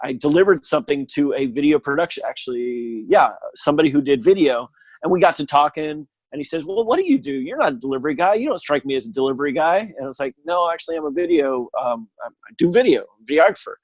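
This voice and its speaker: A man's voice: fairly high pitch, quick pace, no room sound. Chatty middle-aged man, dry sound. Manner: animated storyteller, jokey asides. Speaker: guest. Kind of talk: casual chat. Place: living in Texas.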